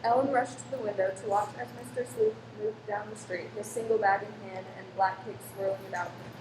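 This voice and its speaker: A woman's voice: medium pitch, fairly even intonation, fairly quick. A woman giving dramatic scripted narration for a radio play story. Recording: echoey, distant mic, clear noise.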